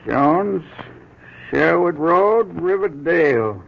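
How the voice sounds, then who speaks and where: A man's voice: fairly high pitch, lively intonation, slow, gravelly, a little hiss or hum, exaggerated voice. An actor playing a plain-spoken working-class trucking clerk who hesitates and fumbles over his words in a 1940s radio drama. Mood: folksy. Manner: hesitant, full of uhs, ungrammatical. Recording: muffled old radio broadcast.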